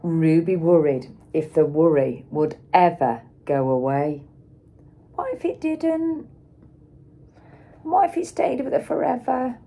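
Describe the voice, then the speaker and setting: Middle-aged lady reading a kids picture book, soft mellow tone, really swoopy and expressive, teacher style. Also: low voice, vocal fry, husky. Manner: expressive, dramatic emphasis and pauses for young children. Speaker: schoolteacher reading aloud. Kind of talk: children's picture book storytelling.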